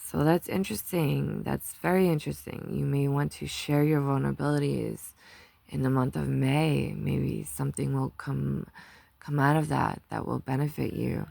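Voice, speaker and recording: A woman with a low, gravelly voice leads a casual tarot reading. She talks loosely, drifting off into stories and laughing often. Her voice sounds close and dry. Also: moderate pace, mellow, no room sound. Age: 30s or older. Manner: chatty, rambling storyteller. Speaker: tarot reader.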